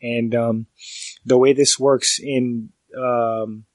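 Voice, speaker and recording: A man talks through a film review for his podcast, his nose stuffed up from a cold. He rambles in a casual way, restarting sentences and leaning on fillers. His delivery stays fairly flat, and the sound is close and dry with no room echo. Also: mid-range voice, drawn-out words. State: congested from a cold.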